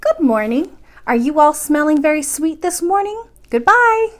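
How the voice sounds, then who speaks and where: A woman's voice: high-pitched, sing-song, normal speed, pitched above the performer's own voice. A woman voicing a sweet, curious little princess in a storybook, polite and innocent. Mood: bright, tender.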